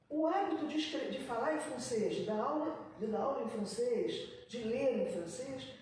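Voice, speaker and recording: A woman in a panel discussion, her voice high but mellow, working through ideas with pauses and restarts. She is picked up from across an echoey room, with faint noise underneath. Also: far from the mic. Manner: reflective, many pauses and restarts. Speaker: writer on a panel.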